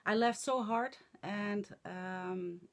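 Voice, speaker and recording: A middle-aged woman with a Dutch accent, speaking English as a second language, chatting in a hoarse voice to camera in a rambling, halting way with many fillers. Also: medium pitch. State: hoarse from laughing and talking. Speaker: flosstube vlogger. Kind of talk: casual chat to camera.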